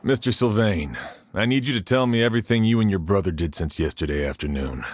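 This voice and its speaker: A man playing a deep, methodical investigator. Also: lively intonation, no room sound, natural voice. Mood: measured. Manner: measured, methodical questioning. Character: calm, deep-voiced male investigator. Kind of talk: game voice acting.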